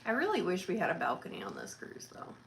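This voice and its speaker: A woman in her thirties co-hosting a cruise livestream, chatting in a relaxed, matter-of-fact way and playfully pushing back on her friend. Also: on the low side, fast talker, creaky. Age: 30s. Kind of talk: casual livestream chat.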